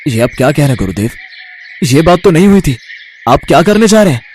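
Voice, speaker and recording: A man's voice: on the high side, sing-song, brisk, a little mellow, a little hiss or hum, pitched above the performer's own voice. A man voicing a young male disciple in a storybook tale, devoted, anxious and brave, with an eager, respectful, pleading manner. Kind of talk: animated storybook character acting.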